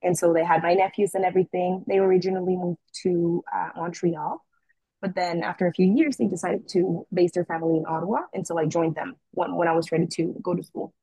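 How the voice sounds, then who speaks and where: Woman, young adult, from the Dominican Republic, hesitant relaxed speech, husky, dry sound. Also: on the low side, fairly quick, dry recording, low-quality recording. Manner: relaxed, hesitant with fillers and restarts. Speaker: interviewee. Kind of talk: casual conversational interview.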